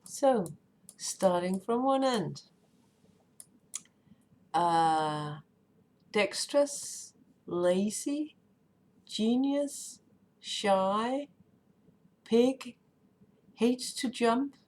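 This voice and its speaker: A woman with a Danish accent chatting casually on a live stream, often pausing with "um" and "uh" as she searches for words. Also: on the high side, lively intonation, a little mellow. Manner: hesitant, many ums and uhs while searching for words.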